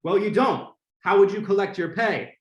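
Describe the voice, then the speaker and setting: A man's voice: high-pitched, moderate pace, echoey room, lightly acted. A man playing a brusque, unworried middle-aged boss in a 1950s-style radio drama. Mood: dismissive. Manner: brusque, dismissive. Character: dismissive, unworried male boss, forty-five. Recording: heavy noise behind voice.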